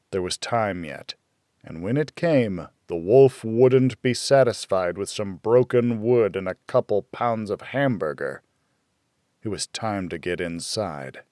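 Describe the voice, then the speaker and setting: A man narrating a first-person thriller audiobook in a deliberate, brooding, dramatic storytelling manner. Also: mid-range voice, a little mellow, no room sound, clean studio sound.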